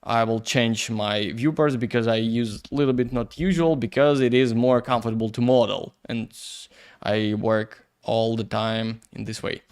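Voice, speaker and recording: A man who is not a native English speaker, teaching a software tutorial and thinking aloud while he works, with many fillers and restarts. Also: medium pitch, fairly even intonation, moderate pace, clean tone. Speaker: 3D visualization instructor. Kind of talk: screen-recorded software tutorial.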